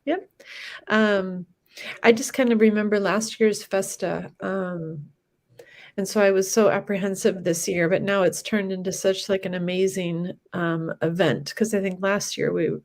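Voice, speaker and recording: A woman guest on a video call, stuffed up and nasal, speaking hesitantly with many pauses. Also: medium pitch, steady tone, darkish tone. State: stuffy nose from a cold.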